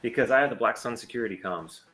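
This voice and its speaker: A man's voice: medium pitch, fast talker, fairly bright tone, lightly acted. A man playing an urgent, wary starship captain and agent in an amateur sci-fi table read, with clipped delivery. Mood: tense.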